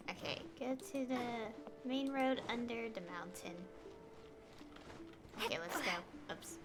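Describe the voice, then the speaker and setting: A woman's voice: a little high, takes it slowly. A woman game streamer giving casual gameplay commentary and reading dialogue choices aloud. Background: game music.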